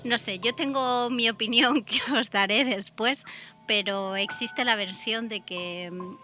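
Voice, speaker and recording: A woman's voice: a little high, measured pace, pushed and bright, soft background music. A woman with a Spain Spanish accent discussing true crime conversationally as a radio co-host.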